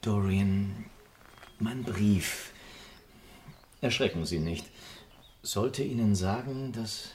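Suave, cynical gentleman in radio play; low register, polished irony, slight background noise. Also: very slow, long pauses. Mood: grave, measured. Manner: polished, ironic, aphoristic. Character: urbane, cynical English gentleman, suave and unruffled. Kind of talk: literary radio drama acting.

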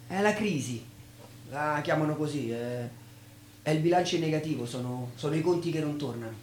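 A man's voice: fairly high pitch, expressive, a little fast, a little mellow, slight background noise, natural voice. A man acting on stage as a dejected, self-pitying young loser, terse and sardonic. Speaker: stage actor. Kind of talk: theatrical dialogue.

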